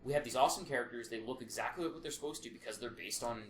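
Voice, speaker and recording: Male podcast co-host, mid-pitched, opinionated and foul-mouthed, casual chat style, echoey room sound. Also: very fast. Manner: sweary, emphatic opinions. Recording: roomy echo, faint background noise.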